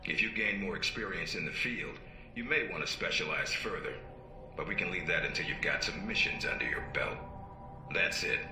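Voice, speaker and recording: An actor playing a stern, dry-witted middle-aged spy agency handler in a video game, measured and authoritative. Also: male voice, deep voice, lightly acted. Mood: measured, wry. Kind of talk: video game cutscene acting. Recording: roomy sound with music behind.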